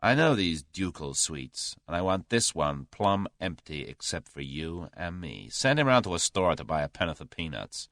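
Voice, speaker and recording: A man's voice: low-pitched, very animated, moderate pace, hardly any pauses, fairly bright tone, no room sound, full character voice. A man voicing a brash, confident American millionaire in an audiobook story, with an American accent and a dry, abrupt drawl.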